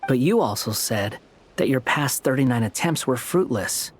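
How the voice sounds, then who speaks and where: A man's voice: medium pitch, lively intonation, moderate pace, a little hiss or hum, lightly acted. An actor playing a blunt, aloof young man in a fantasy game, with curt, dry, challenging delivery. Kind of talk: scripted game dialogue.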